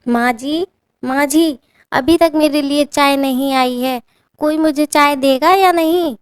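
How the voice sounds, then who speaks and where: A woman's voice: high voice, steady tone, unhurried, no echo, studio quality, voice pushed up. A woman voicing a spoiled young bride who acts like a haughty queen, talking in a whiny, demanding way. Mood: shrill demanding.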